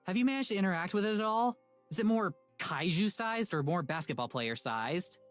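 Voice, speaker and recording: A woman's voice: fairly low pitch, animated, brisk, no room sound, soft background music, natural voice. A woman voicing an eager, upbeat, inquisitive young woman in a visual novel game. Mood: eagerly curious.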